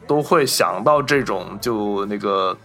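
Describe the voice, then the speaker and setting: A man's voice: lowish voice, animated, unhurried, fairly bright tone, faint music behind. A man co-hosting a casual discussion of film and history, explaining things and chiming in with agreement. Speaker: podcast host.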